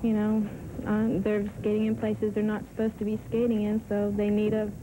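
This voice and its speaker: A woman's voice: medium pitch, monotone, normal speed, background noise. A young woman giving a casual answer in a news interview.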